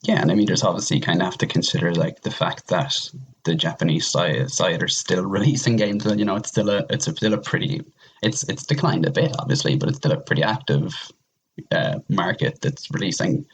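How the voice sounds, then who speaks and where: A man's voice: low-pitched, a little hiss or hum, poor audio. A man chatting casually as a podcast guest, rambling and often repeating himself as he goes. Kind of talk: casual chat.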